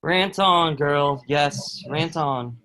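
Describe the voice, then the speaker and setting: A man's voice: on the high side, very slow, sharp tone, full character voice. A man playing a scornful soldier and prison guard, dry in manner, in a table read of a historical play.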